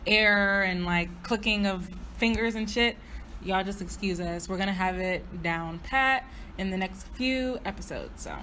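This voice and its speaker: A woman's voice: mid-range voice, unhurried, bright, forceful tone, a little hiss or hum. A woman in her early twenties from Houston co-hosting a podcast in casual, slangy chat with easy swearing. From Houston, Texas. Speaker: podcast co-host.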